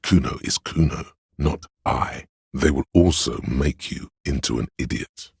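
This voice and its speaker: An actor voicing a pompous, art-obsessed inner voice in a video game, narrating in a literary, deliberate and theatrical way with a husky, gravelly tone. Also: male voice, a bass voice, no echo, clean studio sound, full character voice. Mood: wry observing. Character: pretentious art-obsessed inner voice, male, musing and cutting. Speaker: narrator of a character's inner skill voice.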